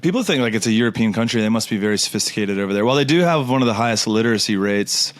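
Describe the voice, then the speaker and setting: A man's voice: on the low side, lively intonation, very fast, no echo. A man co-hosting a podcast in a laid-back, joking way, using casual slang. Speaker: podcast co-host. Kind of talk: casual chat.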